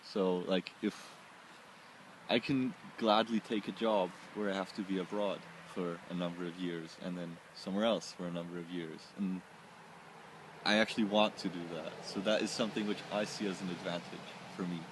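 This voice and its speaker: An Austrian man who grew up in several countries, answering personal questions reflectively in short phrases with pauses. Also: low-pitched, fairly even intonation, noisy background. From born in Oman, Austrian, grew up in several countries. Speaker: interviewee.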